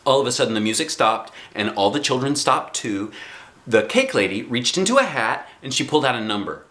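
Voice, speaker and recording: Animated American man reading a children's story. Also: mid-range voice, fairly quick. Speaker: storybook reader, dad. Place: small indoor room, on a sofa by a window.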